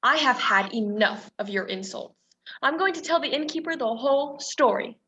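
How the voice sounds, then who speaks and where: A woman's voice: high-pitched, lively intonation, normal speed, bright, forceful tone. A woman acting in a radio play as a prim, protesting young woman, a defiant and indignant reluctant captive. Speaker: student stage actor. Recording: dull, lo-fi virtual recording.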